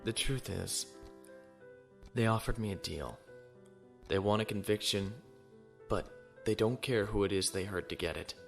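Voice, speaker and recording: A man's voice: on the low side, measured pace, gravelly, pauses often, soft background music. A man playing a conflicted, honorable young naval officer in a sci-fi audio drama, earnest and brooding. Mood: earnest, troubled.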